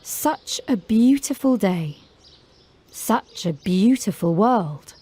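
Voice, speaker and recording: A woman's voice: medium pitch, expressive, takes it slowly, warm tone, husky. A woman narrating a scripted children's story as a storyteller.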